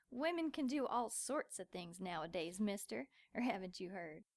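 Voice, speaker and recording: An actress playing a chatty small-town diner waitress, friendly and gossipy. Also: female voice, medium pitch, animated, measured pace.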